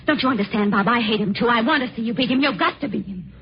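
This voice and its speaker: An actress playing an earnest young secretary with an urgent, dramatic old-time radio suspense delivery. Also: female voice, on the high side, expressive, fast talker. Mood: pleading. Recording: muffled, noisy vintage radio recording.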